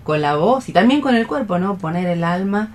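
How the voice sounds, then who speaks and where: A woman's voice: medium pitch, animated, moderate pace, a little hiss or hum. A woman with an Argentine accent chatting casually as a co-host on a radio show.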